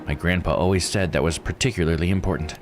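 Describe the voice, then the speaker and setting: A man voicing a weary, regretful woman diver in a visual novel, measured and confiding. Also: low-pitched, steady tone, background noise, lightly acted. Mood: regretful, resigned. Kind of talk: reading visual novel dialogue aloud. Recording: music under the voice. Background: game music.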